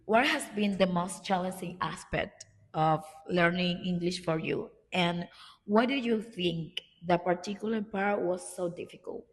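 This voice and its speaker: Low-voiced woman, echoey. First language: Spanish. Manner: halting, searching for words in English. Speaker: interviewer. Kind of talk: informal interview questions. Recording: echoey reverberant room.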